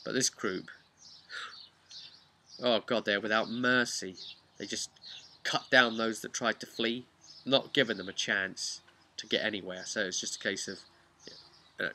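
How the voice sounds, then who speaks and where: A man with a very bright, forceful voice, slightly low in pitch, recounts a tabletop game as its game master. He is conversational and halting, leaning hard on key words. There is faint noise and clicking behind him. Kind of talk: casual recap of a tabletop role-playing game.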